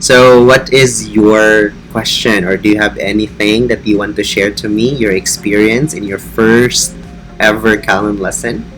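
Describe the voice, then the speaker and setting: A man's voice: fairly low pitch, steady tone, measured pace, a bit bright, faint music behind, poor audio. A man with a Filipino accent, an online English teacher talking earnestly and encouragingly about his lessons. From Philippines. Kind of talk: casual teaching vlog.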